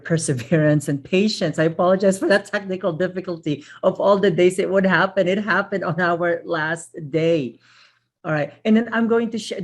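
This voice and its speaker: A woman from the Philippines presenting a webinar over a video call, grateful and chatty, with many fillers and repeated thank-yous. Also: sits low. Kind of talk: live webinar wrap-up.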